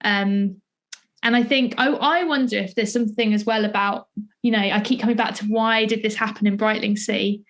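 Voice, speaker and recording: A woman's voice: medium pitch, steady tone, moderate pace. A woman chatting as an interviewer in a tentative, heavily hedged way. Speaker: interviewer, theatre maker. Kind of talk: casual interview conversation.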